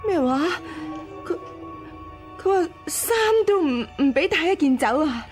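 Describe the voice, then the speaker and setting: Aggrieved young widow, very high voice, tearful, plaintive, Hong Kong Cantonese, radio drama. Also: female voice, expressive, unhurried, soft background music. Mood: bitter, indignant.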